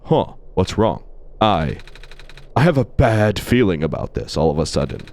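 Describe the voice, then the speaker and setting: A man reading the lines of a young cat-person game hero in a deep, soft, hesitant voice. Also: very animated, normal speed.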